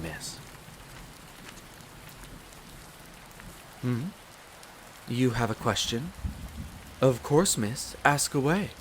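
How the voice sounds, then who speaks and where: An actor voicing a devoted, polite wolf butler who serves as head servant, in a scripted roleplay drama. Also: male voice, mid-range voice, lightly acted. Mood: courteous, reassuring. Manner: polite, formal, deferential. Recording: rain noise over the voice. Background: steady rain.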